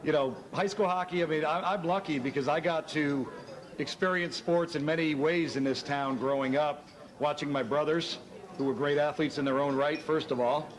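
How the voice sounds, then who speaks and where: Hoarse man emceeing a banquet. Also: a little high, steady tone, normal speed. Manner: chatty, self-deprecating, rambling. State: hoarse from shouting. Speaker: master of ceremonies. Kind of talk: casual speech at a banquet. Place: hotel banquet hall.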